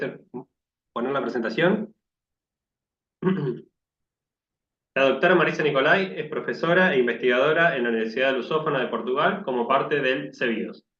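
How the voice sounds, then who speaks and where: A man with a Rioplatense Spanish accent moderates an online session and courteously reads out the speakers' introductions. His voice is somewhat high for a man. The audio is echoey and a little dull. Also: a bit bright.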